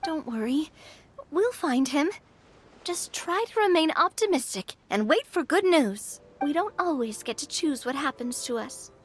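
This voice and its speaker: A woman's voice: high-pitched, expressive, unhurried. An actress playing a cheerful, optimistic young woman adventurer with a sunny, chatty, earnest manner. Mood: earnest, upbeat.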